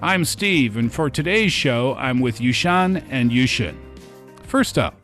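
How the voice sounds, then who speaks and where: Male presenter for show intro, animated intonation, direct address, music underneath. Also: mid-range voice, measured pace. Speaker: radio show host.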